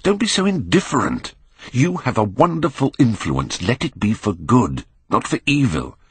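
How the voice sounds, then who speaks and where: A man voicing an earnest, anguished Victorian painter who pleads and moralises gravely in an audiobook. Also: mid-range voice, very animated, moderate pace, voice pushed up. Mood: urgent, distressed.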